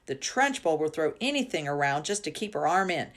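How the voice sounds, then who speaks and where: A woman's voice: low-pitched, sing-song, fairly quick. A woman voicing a feisty older schoolgirl in a storybook, telling tales in an emphatic, boastful, battle-worn way.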